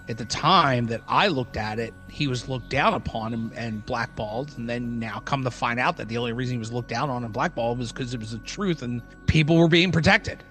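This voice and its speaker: A man's voice: mid-range voice, fairly bright tone. A man co-hosting a podcast, talking casually and informally in rambling runs of examples. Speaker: podcast co-host. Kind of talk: casual conversational podcast talk.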